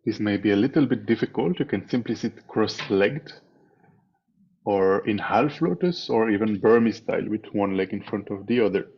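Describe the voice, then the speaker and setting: A man leading a guided meditation as a teacher, speaking in a calm, measured way with long pauses between phrases. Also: fairly low pitch, breathy edge. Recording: dull, muffled online meeting audio.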